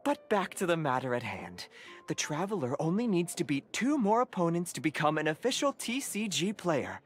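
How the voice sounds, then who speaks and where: Casting: earnest, wordy tournament official for a game, very animated intonation, genial and formal. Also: male voice, a little high, normal speed. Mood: enthusiastic. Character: genial, enthusiastic, slightly flustered card-game official. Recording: background music.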